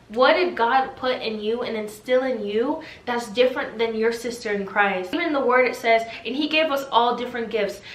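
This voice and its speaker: A woman in her twenties, a faith vlogger answering viewer questions in a talkative, animated way, exhorting listeners with rhetorical questions. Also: a little high. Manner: talkative and animated, self-described loud yappy voice. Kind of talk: casual faith chat, preachy Q&A. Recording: echoey room.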